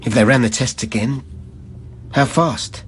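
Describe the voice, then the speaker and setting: A man's voice: medium pitch, unhurried, a little hiss or hum, close to an everyday voice. A man playing a guilt-ridden former lab worker, giving a plain-spoken rhyming confession in a poetic radio drama. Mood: guilty, anxious.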